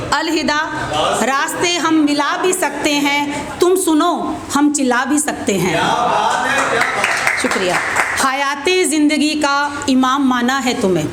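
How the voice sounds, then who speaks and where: High-voiced woman reciting poetry, echoey room. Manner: deliberate, repeats lines for effect. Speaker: poet performing at an open mic. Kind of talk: spoken Hindi-Urdu poetry recital with informal introductions. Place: open mic event room. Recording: echoey room with clear background noise.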